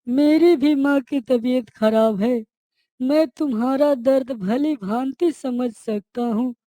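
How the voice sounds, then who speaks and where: Woman as a slow, gentle village lad. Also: high-pitched, no echo, studio quality. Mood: sympathetic. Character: simple kind-hearted young village son, humble. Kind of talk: cartoon moral story dialogue.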